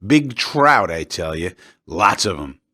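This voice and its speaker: A man voicing a drunk old fisherman who talks loudly and eagerly in a rambling way. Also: sits low, very animated, takes it slowly, exaggerated voice. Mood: boastful. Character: drunk old Italian fisherman, boastful and excitable. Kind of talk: audiobook character voice.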